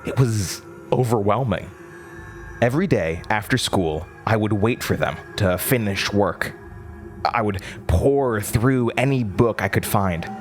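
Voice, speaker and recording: A man's voice: on the low side, expressive, a little slow, faint music behind. A man playing a gleeful, unsettling word-devouring entity in a horror audio drama, theatrical and savoring each word. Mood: wistful, eager. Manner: theatrical, giggling, savoring words.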